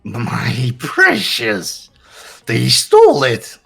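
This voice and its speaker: Gleefully cruel bear mascot for a video game: wildly swinging pitch, gravelly, melodramatic. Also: male voice, huge pitch swings, a bit bright, faint music behind, exaggerated voice. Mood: aggrieved, angry. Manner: melodramatic, mock-sweet, drawn-out phrasing. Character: sinister theatrical robotic bear mascot, male, gleefully cruel. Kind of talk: fan-voiced game dialogue.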